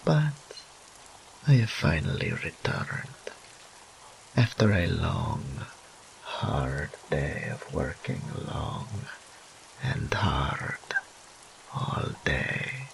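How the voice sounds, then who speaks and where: A man acts out a seductive male incubus demon for a roleplay audio drama, teasing and doting. The voice swings widely from purr to whisper with a gravelly edge. The delivery is a theatrical, very unhurried drawl, over a faint wash of rain. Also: very deep voice, big pitch swings, slight background noise, exaggerated voice, low-quality recording. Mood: smug, languid. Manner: theatrical drawl, purring, breathy whispered asides.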